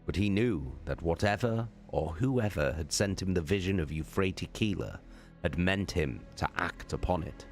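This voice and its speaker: A man reading science fiction prose aloud as dramatic narration, solemn and deliberate. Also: deep voice, faint music behind. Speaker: audiobook-style reader, streamer.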